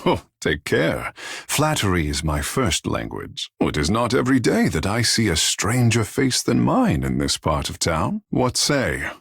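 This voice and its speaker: A man playing a vain, flirtatious, sea-blessed godlike artist in a video game, husky and theatrical, with florid, playful delivery. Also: on the low side, big pitch swings, unhurried, fairly bright tone, no echo, studio quality, heavily acted. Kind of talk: video game character dialogue.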